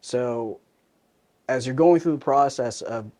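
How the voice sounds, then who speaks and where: Man, thirties, relaxed, conversational, fillers and restarts, interview guest. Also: mid-range voice, takes it slowly. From born in Hong Kong, raised in New Jersey. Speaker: interview guest, commercial real estate advisor.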